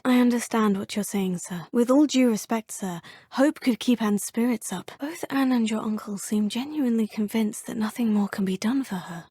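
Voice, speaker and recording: A courteous teenage witch student from a fantasy video game. Her voice sits fairly high and has a soft, breathy edge. The recording is bone-dry studio sound with no room around it. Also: no echo. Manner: courteous and composed, often saying sir. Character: polite, curious teenage witch student, steady and earnest. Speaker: game player character.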